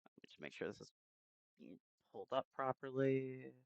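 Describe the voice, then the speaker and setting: A man chatting casually and haltingly as he hosts a livestream, speaking into a headset mic. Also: medium pitch, animated, vocal fry, clean tone, no room sound, lo-fi sound. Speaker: game streamer, host. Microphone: new headset microphone.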